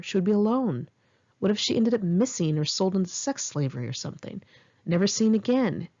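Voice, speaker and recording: A woman's voice: lowish voice, darkish tone, highs cut off. A middle-aged woman reading her memoir aloud in one take, candid and wry, with casual swearing. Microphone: home microphone.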